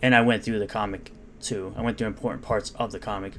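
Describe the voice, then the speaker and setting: A man's voice: lowish voice, fairly even intonation, fast. Sarcastic man ranting over faint hiss. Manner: sarcastic, mocking, unscripted ranting. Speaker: commentary YouTuber. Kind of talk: reading and rebutting comments.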